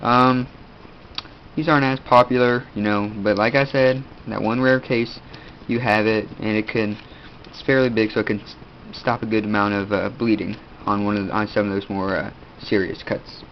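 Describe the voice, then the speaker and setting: A man's voice: fairly low pitch, flat delivery. Stuffed-up teenage guy rambling. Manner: rambling, halting, many fillers. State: stuffed-up nose, sniffing. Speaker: video host. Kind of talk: casual gear show-and-tell. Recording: dull lo-fi audio, faint room noise.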